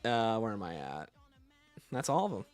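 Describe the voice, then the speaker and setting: A man's voice: on the low side, sing-song, moderate pace, clean tone, faint music behind. A man hosting a casual livestream, chatting informally and playfully as he answers viewer questions. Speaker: livestream host.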